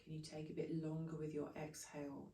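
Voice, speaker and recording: A woman guides a breathing meditation in short, unhurried phrases. Her voice is mellow and soothing, with very little rise and fall. It sounds roomy and echoey, as if picked up away from the microphone. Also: low voice, completely flat delivery. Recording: echoey room, distant from microphone.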